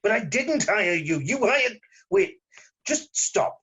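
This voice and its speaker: A man playing a cautious, businesslike assassin in his late thirties at an amateur table read, clipped, deadpan and suspicious. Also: sits high, slow pace, slightly rough, full character voice. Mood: suspicious, agitated. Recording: dull lo-fi voice recording.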